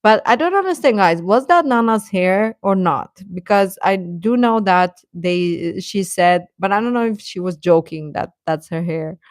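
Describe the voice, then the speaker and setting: A woman hosting a casual reaction chat, talking in an animated, giggly, rambling way and often repeating phrases for emphasis. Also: mid-range voice, measured pace, few pauses, dry recording, clean studio sound. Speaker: livestream host chatting with viewers.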